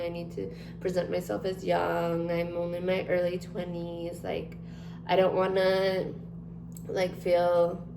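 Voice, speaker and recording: Twenties woman, slightly low voice, relaxed rambling vlog talk; roomy echo and background hum. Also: vocal fry. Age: 20s. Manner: chatty, rambling with many ums and likes. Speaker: vlogger. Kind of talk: casual chat to camera. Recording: echoey room with noticeable hum.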